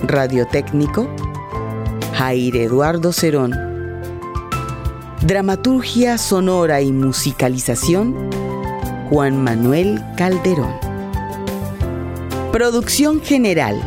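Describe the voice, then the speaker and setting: A woman's voice: low voice, sing-song, takes it slowly, long pauses. A woman reading out scripted credits as an announcer. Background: music.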